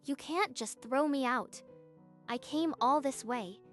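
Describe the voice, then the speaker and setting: A woman voicing an excitable, outspoken young woman in a manga dub, very expressive. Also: sits high, slow, soft background music. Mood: indignant. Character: indignant, excitable young female relative of student.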